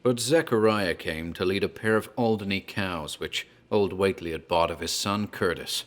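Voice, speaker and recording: An actor playing a talkative tavern landlord who tells a grim tale in a deliberate, theatrical horror drama style. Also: male voice, low voice, a bit bright. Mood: ominous storytelling. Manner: deliberate, theatrical storyteller, literary wording. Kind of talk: dramatized horror storytelling, scripted narration.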